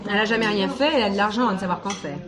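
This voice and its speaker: A woman's voice: medium pitch, very fast, crowd noise, lightly acted. A woman playing a teasing, good-natured wife in a French play, talking in a natural, conversational way. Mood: playful. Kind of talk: French radio play acting. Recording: busy restaurant background with dishes.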